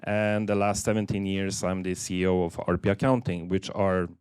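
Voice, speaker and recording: Lowish-voiced Norwegian man presenting. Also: little pitch movement, darkish tone, smooth, dry recording, studio quality. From Norway. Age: 50s. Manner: halting, many fillers and restarts. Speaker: seminar speaker. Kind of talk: business presentation.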